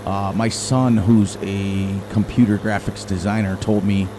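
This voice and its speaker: A middle-aged man with a loud voice, rambling and thinking aloud as he comments casually on his gameplay into a desk microphone. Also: lowish voice, level tone, measured pace. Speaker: gaming channel host. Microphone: desk microphone turned down low. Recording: game engine noise behind.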